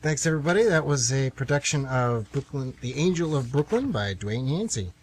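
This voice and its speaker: A man's voice: mid-range voice, lively intonation, a little fast, slight background noise. A man narrating and announcing a scripted radio theatre play.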